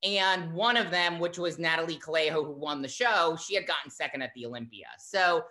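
A fitness coach talking in a casual interview, animated and chatty, with lots of fillers. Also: medium pitch, fairly quick.

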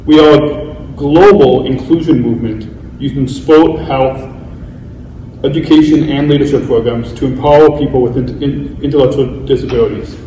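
Mellow man reading from a distant mic. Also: medium pitch, steady tone, normal speed, a little hiss or hum. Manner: reads steadily, trips on some words. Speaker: panelist, athlete speaker. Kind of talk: reading prepared remarks on a panel. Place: large event hall. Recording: distant mic in a roomy hall.

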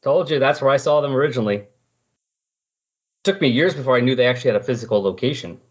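A man's voice: medium pitch, fast talker, breathy edge. A man hosting a casual comic-book discussion, explaining things in a conversational way. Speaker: podcast host. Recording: dull, lo-fi voice recording.

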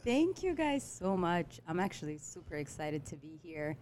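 Woman with a low voice telling her story. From Eritrea, raised in Ethiopia. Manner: relaxed, many fillers, hesitant pauses. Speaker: guest, restaurant owner. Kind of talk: casual interview storytelling. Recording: faint background noise.

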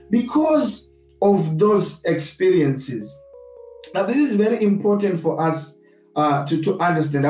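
A man from Zimbabwe with a Zimbabwean accent gives a reflective, sermon-like talk, deliberately restating his points for emphasis. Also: high voice, mellow. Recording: roomy echo, distant mic, music behind.

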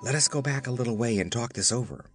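A man's voice: mid-range voice, fast, a bit bright, no room sound, faint music behind, close to an everyday voice. A man voicing an earnest, practical living scarecrow in a storybook, with a plucky, matter-of-fact manner. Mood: earnest, planning.

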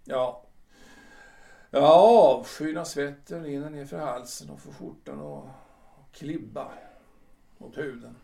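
A man's voice: medium pitch, expressive, vocal fry, long pauses, dark tone, far from the mic. A man playing a proud, brooding retired official in his sixties in a radio drama, speaking theatrically and repeating himself emphatically. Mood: weary, restless. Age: older. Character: proud, brooding 62-year-old retired official clinging to memories.

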